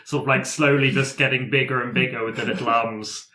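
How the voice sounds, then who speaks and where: A man playing a tabletop roleplaying game, describing character actions in a jokey, halting, improvised way. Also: mid-range voice, fairly even intonation, pushed and bright. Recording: echoey room.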